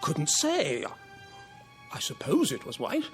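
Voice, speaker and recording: Jovial old officer, very animated. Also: male voice, fairly high pitch, slow pace, soft background music, full character voice. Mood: fond reminiscing. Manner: hearty, polite, reminiscing. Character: jovial, genteel old British air marshal. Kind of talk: dramatic radio drama acting.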